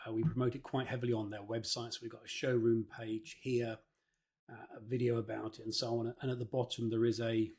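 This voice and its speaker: A man speaks at a middling pitch in an informal instructional style. He sounds like a consultant talking through practical advice. His delivery is hesitant, with words often repeated mid-phrase. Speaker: presenter, marketing consultant.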